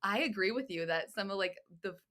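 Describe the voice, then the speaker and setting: A woman's voice: mid-range voice, lively intonation, brisk, a bit bright. A young woman hosting a podcast, agreeable and encouraging, often chiming in with short affirmations. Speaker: podcast host. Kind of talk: casual podcast interview.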